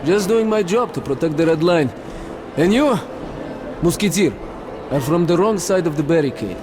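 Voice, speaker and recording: An actor playing a talkative, falsely friendly military major in a video game, with a Russian accent and expressive, chatty delivery. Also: male voice, on the high side, normal speed, noisy background, natural voice. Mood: calmly persuasive. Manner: chatty, expressive, peppering speech with foreign exclamations. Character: boisterous, talkative Red Line army major, falsely friendly.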